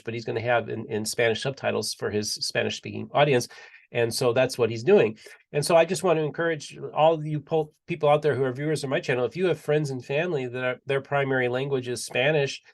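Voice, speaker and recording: A man's voice: mid-range voice, quick pace, gravelly. A male podcast host chatting casually and enthusiastically, often repeating and restarting his words. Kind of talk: casual interview chat.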